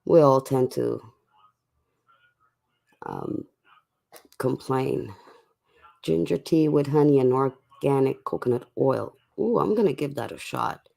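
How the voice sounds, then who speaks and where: A livestream host chatting casually and in a laid-back way to viewers late at night, with a voice going hoarse from a cough. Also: mid-range voice, lively intonation, takes it slowly, long pauses, darkish tone. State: sick with a cough, voice getting hoarse.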